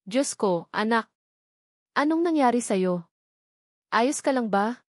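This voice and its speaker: A woman's voice: a little high, expressive, slow pace, dry recording, clean studio sound. A woman voicing an anxious, tender father, urgent and protective, in an audio storybook. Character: anxious, tender young father searching for his son.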